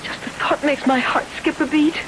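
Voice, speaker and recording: An actress plays a fragile, anxious woman in an old radio play. Her voice is high, delicate and fretful, with clear hiss on the recording. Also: fairly even intonation, moderate pace, natural voice. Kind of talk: 1970s radio drama acting.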